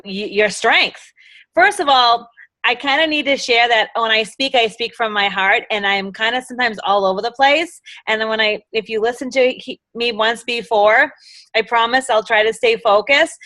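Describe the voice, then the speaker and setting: A middle-aged woman with a Boston accent speaks with a bright, forceful edge and a slightly raised pitch. Her talk is enthusiastic and rambling. The sound is dry, with little room echo. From Massachusetts. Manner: enthusiastic, rambling, quotes others in lively voices. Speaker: guest speaker. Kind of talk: motivational sales talk.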